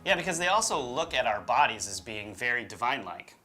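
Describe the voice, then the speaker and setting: A man's voice: mid-range voice, very animated, fairly quick. A man co-hosting a UFO show with informal, opinionated conversational commentary. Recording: music behind the voice. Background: background music.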